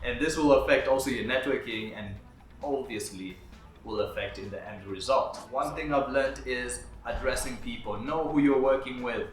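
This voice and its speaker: A man's voice: mid-range voice, moderate pace. A man whose first language is Swahili, a videographer talking through his business lessons in an earnest, structured vlog. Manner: earnest, structured, advice-giving. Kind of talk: talking-head advice vlog. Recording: roomy echo with faint background music.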